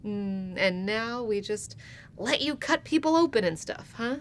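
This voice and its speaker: An actress playing a warm but commanding female starship captain in a sci-fi audio drama, teasing and authoritative. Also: mid-range voice, expressive, measured pace, lightly acted. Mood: fond, teasing.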